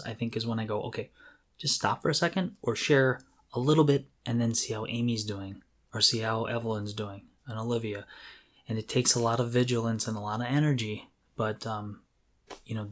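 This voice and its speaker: A man's voice: mid-range voice, fairly even intonation, moderate pace, a bit bright. A man co-hosting a podcast in casual conversation, speaking in a candid, self-deprecating way. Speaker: podcast co-host.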